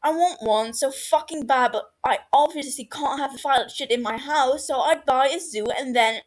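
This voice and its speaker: A woman voicing a cheeky, excitable, foul-mouthed British man in his twenties in an audio story. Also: high-pitched, normal speed, voice pushed up, natural voice. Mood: excitable, insistent. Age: 20s. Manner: sweary, argumentative, boisterous. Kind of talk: fan fiction audio story dialogue.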